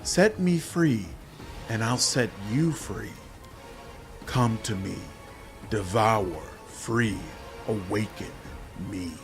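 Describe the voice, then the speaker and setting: A man voicing a captive, hungry female demon swarm of many bodies in game dialogue, intoning words one by one in a halting manner. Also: fairly low pitch, music in the background. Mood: pleading.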